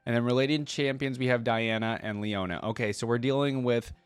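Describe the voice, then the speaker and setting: A man hosting a reaction video, casually commenting and reading lore aloud, thinking out loud with informal asides. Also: mid-range voice, brisk, clean tone, dry recording. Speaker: YouTube reaction host.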